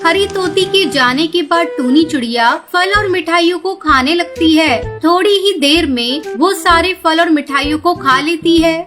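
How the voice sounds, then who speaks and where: A woman's voice: extremely high pitch, unhurried, few pauses, fairly bright tone, soft background music. A woman reading scripted narration for a children's cartoon story.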